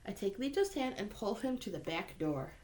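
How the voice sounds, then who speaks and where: A woman reading a children's picture book aloud in an expressive way, lifting her voice for the boy's lines. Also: medium pitch, moderate pace. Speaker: reader.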